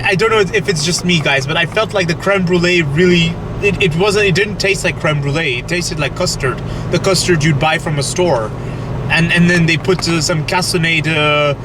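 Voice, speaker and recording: A young man from Belgium vlogging casually from the driver's seat of a car, chatty and hesitant with many restarts, talking to viewers through a camera on the dashboard. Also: fairly high pitch. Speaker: food vlogger. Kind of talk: casual vlog chat to camera. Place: inside a car, driving. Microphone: camera mounted on dashboard. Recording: car and road noise behind.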